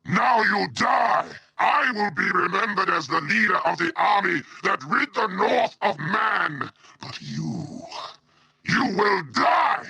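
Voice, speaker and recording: A brutal monster warlord from a fantasy game, growling in a heavily gravelled, sharp-edged voice. He draws out his boastful threats slowly and with contempt, in a very dry, close recording. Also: high voice, animated, dry recording, heavily acted, low-quality recording. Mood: furious. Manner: menacing, contemptuous, slow, drawn-out threats. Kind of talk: video game villain voice acting.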